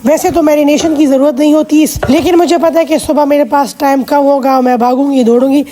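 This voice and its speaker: A high-pitched woman with a slightly hoarse voice walks viewers through recipes one step at a time. Her tone is mellow and soft-edged, and she chats casually between measurements. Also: steady tone, a little fast. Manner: patient step-by-step instruction, chatty asides. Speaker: cooking channel host. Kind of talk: cooking vlog voice-over.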